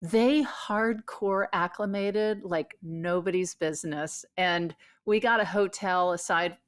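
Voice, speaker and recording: Lower-pitched woman telling a rambling story. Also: takes it slowly. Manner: rambling storytelling with many fillers. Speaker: podcast co-host. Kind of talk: casual chat.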